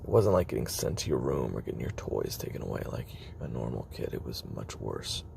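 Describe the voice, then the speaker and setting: Noisy recording, guy playing tired ex-assassin confessing to tape, super low croaky mumble, lots of hesitant pauses. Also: very animated, darkish tone, heavily acted. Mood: haunted, halting. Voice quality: very gravelly, fry-laden, breathy murmur. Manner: halting, hesitant, self-doubting, trailing pauses. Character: weary guarded former assassin recording confessional tapes. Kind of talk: confessional audio roleplay monologue.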